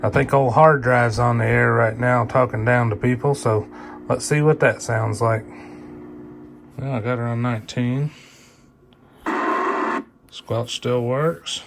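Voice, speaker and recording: A man's voice: mid-range voice, steady tone, measured pace, vocal fry, long pauses, slight background noise. A man giving a casual hands-on equipment demonstration, folksy and colloquial, muttering to himself as he works. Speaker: video host reviewing a vintage CB radio.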